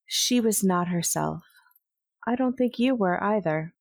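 A woman voicing a measured, grave female Jedi leader in an audio drama. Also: medium pitch, animated, dry recording, studio quality.

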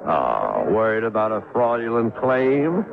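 An actor playing a big, cocky American gambler in a 1950s radio drama, breezy, slangy and dismissive. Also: male voice, fairly low pitch, wildly swooping pitch, unhurried, creaky, crowd noise, exaggerated voice. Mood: dismissive, wary. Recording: dull, noisy 1950s radio broadcast.

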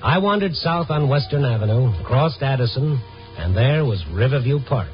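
A man's voice: medium pitch, expressive, normal speed, natural voice. Man acting as hardboiled reporter, American, wry and world-weary, conversational narration, dull vintage radio sound, music behind. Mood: wry, musing. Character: wry, world-weary Chicago newspaper reporter narrating his night beat. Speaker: lead actor and narrator. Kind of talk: 1950s radio drama narration.

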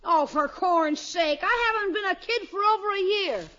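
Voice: extremely high pitch, moderate pace, pushed and bright. A performer playing a cheeky, wisecracking young schoolboy nephew in a 1950s radio situation comedy. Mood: scornful, wry. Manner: cheeky, exclamatory. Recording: dull old radio recording.

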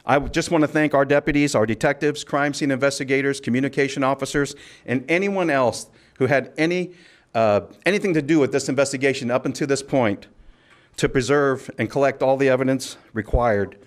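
A man speaking as a sheriff at a press conference, delivering a formal statement in a measured, official, occasionally halting way. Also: mid-range voice. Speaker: county sheriff.

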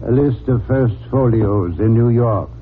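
A man's voice: mid-range voice, measured pace, natural voice. Imperious male mastermind sleuth, played by a radio actor, middle-aged, terse, erudite, narrow-band noisy vintage recording. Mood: dryly imperious. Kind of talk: 1940s radio drama acting.

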